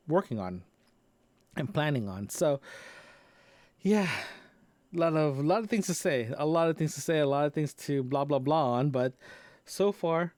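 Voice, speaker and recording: A man's voice: mid-range voice, no room sound. Rambling man on a dry close mic. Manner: rambling, with frequent restarts and fillers. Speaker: podcast host. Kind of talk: casual solo audio log. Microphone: dynamic microphone into portable recorder.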